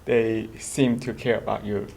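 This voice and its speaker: A teenage boy from Macau speaks in a fairly low, calm voice. He pauses often to find his words, in a relaxed, conversational way. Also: creaky, a little hiss or hum. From Macau, China. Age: teens. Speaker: student. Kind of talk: casual interview chat.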